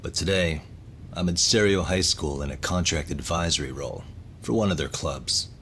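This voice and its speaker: A man's voice: a bass voice, moderate pace, fairly bright tone, a little hiss or hum. A man playing a cool, dry-witted private detective in a video game, speaking with composed, questioning delivery. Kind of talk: English video game dub acting.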